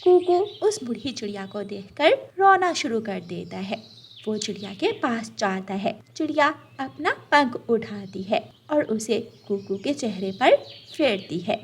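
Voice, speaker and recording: A woman's voice: mid-range voice, expressive, measured pace, slight background noise. A woman reading scripted narration for a children's moral story.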